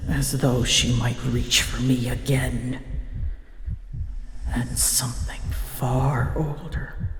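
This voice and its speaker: A guarded, wounded she-dragon played by a woman speaks in a breathy whisper with creaky edges. Her solemn, formal lines come extremely slowly and haltingly, ringing in long reverb, as in an intimate fantasy ASMR roleplay. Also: rumbling low, flat delivery, background noise, full character voice. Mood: uneasy, dread. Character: prickly, wounded female dragon, decades old, guarded. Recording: echoey, long reverb tail.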